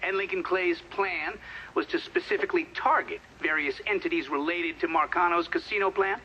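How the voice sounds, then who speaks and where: Formal questioner, noisy sound. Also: male voice, high-pitched, moderate pace, bright, forceful tone, highs cut off. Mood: formal, probing. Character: formal, probing hearing questioner. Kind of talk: interrogation in cinematic game acting.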